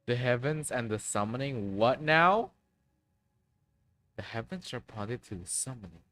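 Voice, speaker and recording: A man's voice: mid-range voice, animated, unhurried, a bit bright. A man streaming, reacting to a video game cutscene with muttered comments and exclamations.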